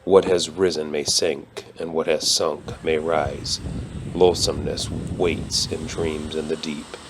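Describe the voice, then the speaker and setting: A man's voice: rumbling low, takes it slowly, rough recording. A man giving a solemn narrated reading of literary horror, with noise behind the voice. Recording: clear steady hum and background noise.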